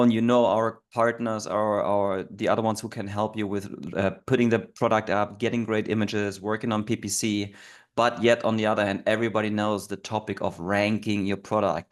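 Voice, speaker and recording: Low-voiced man hosting a casual interview. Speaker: host. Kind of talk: casual interview, addressing viewers.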